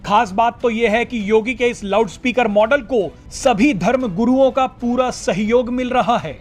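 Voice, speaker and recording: A man's voice: extremely high pitch, normal speed, soft background music. A man reading a scripted news report as a narrator.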